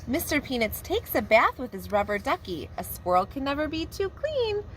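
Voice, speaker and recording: A woman preschool teacher reading a picture book aloud to young children in a warm, expressive storytelling manner. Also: high-pitched, moderate pace, slight background noise.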